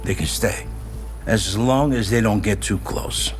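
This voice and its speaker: An actor playing a calm, understanding military commander in an audio drama. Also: male voice, lowish voice, a little slow, soft background music, close to an everyday voice. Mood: calm, sympathetic.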